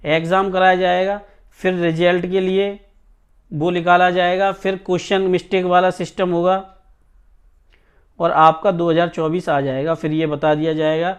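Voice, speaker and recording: Male coaching teacher, notably high voice, emphatic informal explainer. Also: little pitch movement, moderate pace, clean tone. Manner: emphatic, repeatedly checks listeners understand. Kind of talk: informal exam news talk to students.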